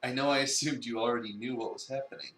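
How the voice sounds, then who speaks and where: A man's voice: medium pitch, steady tone, fast, a bit bright, lightly acted. A man playing a bossy but caring male cafe leader in a visual novel reading, with a dry manner. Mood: reassuring. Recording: roomy, distant from mic.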